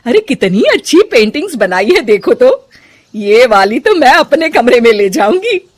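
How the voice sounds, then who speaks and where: A woman performs an older mother-in-law for a cartoon story. She is loud and homely, bossy yet affectionate. Her exclamations rise and fall in lively, sweeping pitch. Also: moderate pace. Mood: pleased, admiring.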